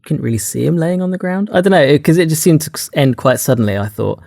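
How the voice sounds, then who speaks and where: A man's voice: on the high side, lively intonation, fast talker, vocal fry, a little mellow, dry recording, clean studio sound. A man co-hosting a podcast, talking casually about films in a hesitant, tentative way. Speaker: podcast co-host. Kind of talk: casual film discussion.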